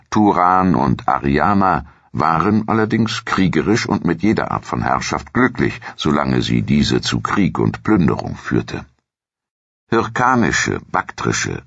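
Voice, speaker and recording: Very deep, gravelly male documentary narrator. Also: fairly bright tone, dry recording, highs cut off. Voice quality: gravelly, husky. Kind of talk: scripted historical narration.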